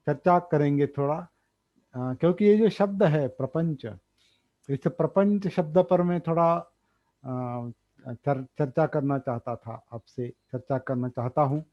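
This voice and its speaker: A man gives a dharma talk, thinking aloud, pausing often and repeating key words. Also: a little high. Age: 60s. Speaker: meditation teacher. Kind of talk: slow explanatory dharma talk. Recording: dull lo-fi recording.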